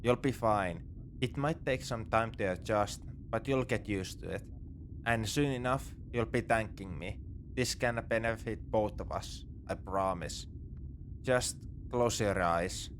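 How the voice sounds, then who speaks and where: Man acting a low-voiced, roguish space pirate. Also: measured pace, a little hiss or hum, close to an everyday voice. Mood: coaxing, soothing. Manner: casual, wry, coaxing. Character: roguish, morally grey male space pirate, casually reassuring captor. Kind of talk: second-person sci-fi ASMR roleplay acting.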